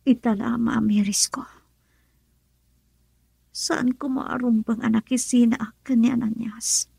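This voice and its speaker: A woman acting a wronged, regretful young woman in a radio drama, breathy and pleading, with an Ilocano Filipino accent. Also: on the high side, steady tone, a little slow, dark tone, no echo, exaggerated voice. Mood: tearful regret.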